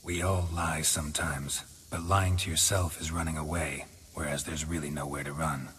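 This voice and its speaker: An actor playing a weary, sardonic middle-aged monster hunter in a video game, with a low gravelly voice and deadpan delivery. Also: male voice, moderate pace, a little hiss or hum. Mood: quietly serious. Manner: deadpan, dry.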